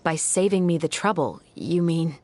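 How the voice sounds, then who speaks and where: A woman's voice: fairly low pitch, normal speed, a little mellow, slight background noise, close to an everyday voice. A woman voicing a serious, dutiful police captain in a video game, questioning with formal, restrained firmness. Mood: troubled, dutiful. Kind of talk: dramatic video game voice acting. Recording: music behind the voice.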